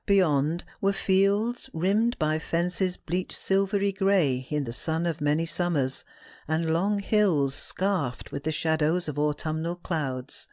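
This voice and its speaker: An audiobook narrator with a mid-pitched voice reads classic fiction. The delivery is scripted, expressive and tender, with a dramatic storytelling feel. The sound is very dry and close. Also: slow, no echo.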